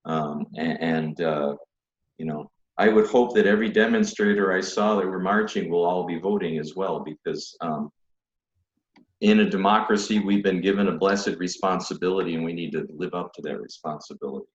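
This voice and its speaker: A man's voice: sits low, normal speed. A man in his fifties, a priest, speaking earnestly over a video call from a home study, with many restarts. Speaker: priest guest. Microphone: video call webcam. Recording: dull, roomy webcam audio.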